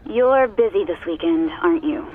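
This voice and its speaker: A woman's voice: on the low side, lively intonation, normal speed. A woman playing a disappointed, sulky child on a phone call in an audio drama, speaking curtly. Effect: phone filter. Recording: tinny phone line.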